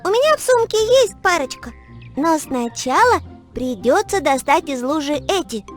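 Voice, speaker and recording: A woman voicing a helpful, expressive little girl who explains things in a children's cartoon. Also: high-pitched, moderate pace, soft background music. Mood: curious, helpful.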